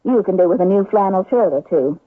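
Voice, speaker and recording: Actress as commanding young frontier wife, husky voice. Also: female voice, medium pitch, lively intonation, fast talker, natural voice. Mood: brisk, bossy. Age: young adult. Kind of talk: radio drama acting. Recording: narrow-band, echoey old radio recording.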